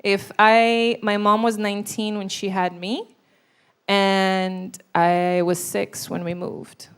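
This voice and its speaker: A woman's voice: mid-range voice, little pitch movement, slow, smooth. A young adult woman from Brazil, telling her personal story as a guest in an interview.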